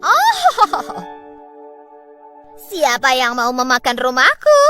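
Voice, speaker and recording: Wicked old storybook witch: high-pitched, swooping delivery, greedy, menacing and bossy. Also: wildly swooping pitch, sharp tone, faint music behind, exaggerated voice. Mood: sly, gleeful. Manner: menacing, commanding, drawn-out emphatic words. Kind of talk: children's storybook character voice.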